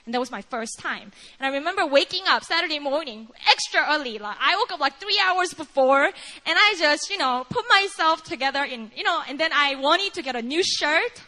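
A woman's voice: high-pitched, lively intonation, brisk, pushed and bright. A young Korean woman with a Korean accent, preaching earnestly and telling a personal story, with "like" and "you know" throughout.

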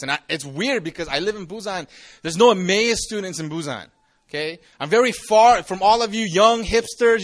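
A man's voice: high-pitched, bright, forceful tone. A man in his forties, a campus pastor, giving a casual, joking youth sermon to a live audience. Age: 40s. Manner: chatty, jokey, restarts often.

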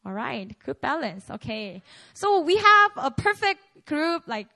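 A woman's voice: high-pitched, sing-song, unhurried, creaky, pushed and bright. A Korean woman pastor, a native Korean speaker, giving a casual, conversational sermon at a prayer meeting, with many fillers.

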